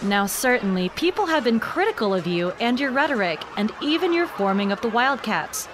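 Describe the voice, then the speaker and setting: A woman's voice: a little high, very animated, brisk, background noise. Articulate, urgent female field reporter. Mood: probing. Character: composed female TV reporter covering a tense protest. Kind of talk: live on-scene news reporting.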